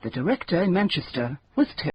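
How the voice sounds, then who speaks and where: A man's voice: on the high side, very animated, brisk. A man reading the closing credits of a radio drama in a formal announcer style. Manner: formal and clear. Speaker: continuity announcer. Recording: slightly dull radio recording, faint hiss.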